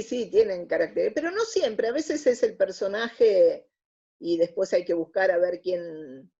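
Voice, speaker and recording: A woman's voice: fairly low pitch, gravelly, mellow, husky, highs cut off. A woman with an Argentine accent answering interview questions in a thoughtful, hesitant, conversational way. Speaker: interview guest, radio drama scriptwriter.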